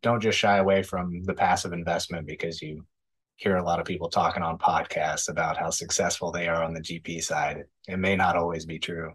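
A man in his thirties, a podcast guest, giving measured, thoughtful answers with frequent ums over a video call. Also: low voice, fairly even intonation, fairly bright tone, husky. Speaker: podcast guest, real estate investor. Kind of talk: casual interview answers. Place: indoor room, video call.

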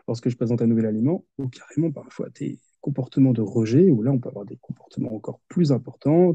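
A man's voice: mid-range voice, dark tone, husky, dry recording. A man in his thirties, an occupational therapist, explaining patiently with examples in a video call interview. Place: home office with window. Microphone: headset on video call. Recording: muffled video call audio.

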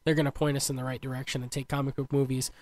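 A man's voice: mid-range voice, flat delivery, rapid-fire, darkish tone. A man from upstate New York co-hosting a podcast in casual chat, sounding stuffy and congested. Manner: easygoing, chatty with fillers. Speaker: podcast co-host.

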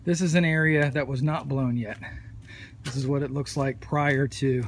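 A man's voice: medium pitch, steady tone, moderate pace. Plain-spoken man outdoors, noisy phone audio. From United States. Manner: plain, matter-of-fact, with fillers and restarts. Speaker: pressure washing contractor. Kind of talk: casual walkthrough narration. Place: outdoor high school stadium stands.

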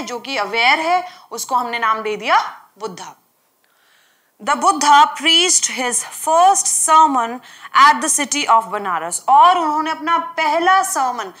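A young woman teacher with an Indian accent explaining a lesson, reading English text slowly and then explaining it quickly while asking the class questions. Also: high voice, bright, forceful tone. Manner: asks the class questions, frequent "okay" and "right". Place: studio in front of a smartboard.

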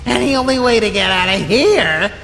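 A woman voicing a spiteful, manic little talking flower villain in an animated comic dub, childish, mocking and theatrical. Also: mid-range voice, moderate pace, gravelly. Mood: menacing taunting. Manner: mocking, sneering, theatrical, prone to shrieking. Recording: long reverb, music behind the voice.

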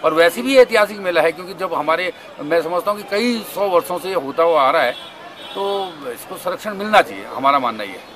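A man's voice: fairly high pitch, lively intonation, brisk, crowd noise. A middle-aged man from India giving his opinion in an interview, earnest and explanatory. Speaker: festival-goer interviewed.

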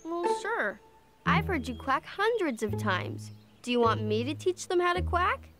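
A performer voicing a gentle, helpful young boy bear cub in a children's cartoon, earnest and reassuring. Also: very high-pitched, very animated, unhurried, loud music behind the voice, exaggerated voice.

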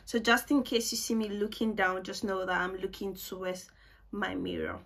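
A woman's voice: mid-range voice, steady tone, normal speed, a bit bright. Chatty woman doing a makeup tutorial. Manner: chatty, self-mocking, repeats words for emphasis. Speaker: beauty vlogger. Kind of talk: casual makeup tutorial chat. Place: small home room, indoors.

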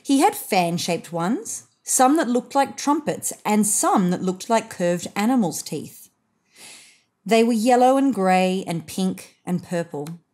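A woman narrating a children's picture book expressively, with deliberate emphasis on key phrases. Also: medium pitch, expressive, a little mellow.

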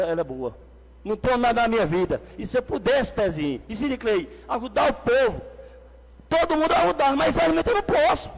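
A man in his forties with a Pernambuco accent giving an angry, shouting speech as a city councilman at a desk microphone. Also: very high-pitched. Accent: Pernambuco Brazilian Portuguese. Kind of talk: heated council floor speech. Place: council chamber indoors. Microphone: desk microphone on stand. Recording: long reverb of a council hall.

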